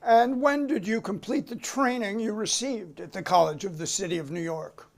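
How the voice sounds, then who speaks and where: High-pitched male government interrogator played by an amateur reader, courteous, clipped and insistent, in a staged hearing. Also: moderate pace, vocal fry. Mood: formal, probing. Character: formal, insistent male committee counsel questioning a witness. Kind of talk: dramatic reading of a hearing transcript.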